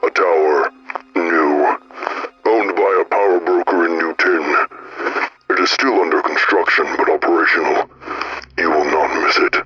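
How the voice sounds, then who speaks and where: A man playing a shadowy informant in an audio drama, speaking formally and deliberately in measured, cryptic phrases. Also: low voice, fairly even intonation, lo-fi sound, very noisy.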